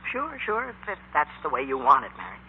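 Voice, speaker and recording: Excitable high-pitched teenage boy. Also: fast talker, husky, full character voice. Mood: sheepish, earnest. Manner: stammering, pleading. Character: eager, excitable, hapless teenage boy bandleader. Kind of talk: 1940s radio acting. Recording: muffled, noisy 1940s radio recording.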